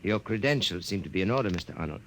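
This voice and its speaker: An intense young rebel in a 1950s radio play. He speaks in clipped, urgent lines. His pitch moves widely. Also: lively intonation, very fast, over loud music. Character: young male rebel leader, intense and guarded. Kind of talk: 1950s radio drama acting.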